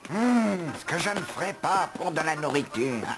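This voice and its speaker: A man voicing a grumpy, gluttonous house elf in an animated film dub, with a theatrical, grumbling, wry delivery. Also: on the high side, normal speed, background noise.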